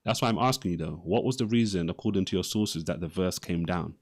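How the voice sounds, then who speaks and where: A man's voice: deep voice, quick pace. A man debating religion live, persistently questioning his opponent and repeating his questions.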